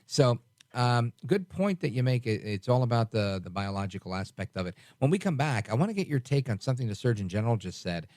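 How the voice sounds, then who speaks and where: A man's voice: mid-range voice, very animated, a little fast, darkish tone, no echo, clean studio sound. A man in his forties hosting late-night talk radio, chatting casually with many restarts. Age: 40s. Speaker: radio talk show host.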